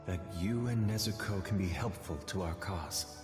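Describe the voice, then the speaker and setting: Soft, deep, serene master played by a man. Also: lightly acted. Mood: serene, encouraging. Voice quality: soft, soothing. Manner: measured, calm, persuasive. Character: serene, gentle male master of demon slayers. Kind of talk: anime game dialogue. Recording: very echoey hall, music behind.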